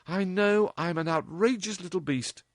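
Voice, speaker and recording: A man's voice: high-pitched, moderate pace, dry recording, studio quality, voice pushed up, close to an everyday voice. A man reading aloud and voicing an impudent, teasing young woman who talks flippantly to her mother. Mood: playful. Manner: playful, cheeky, flippant.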